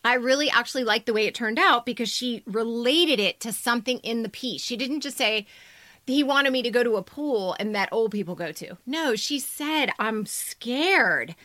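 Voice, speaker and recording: A woman's voice: a little high, animated, moderate pace, bright, forceful tone, no echo. A woman co-hosting a podcast as a story editor, talking in a direct, emphatic, conversational way.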